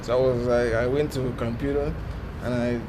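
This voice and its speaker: A young man with a Nigerian accent tells his story in short, halting bursts, often circling back to repeat himself. His voice sits at an ordinary middle pitch. He was recorded outdoors in a city, where loud traffic noise runs underneath everything he says. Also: level tone. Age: 20s. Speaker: documentary interviewee. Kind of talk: personal account in an interview.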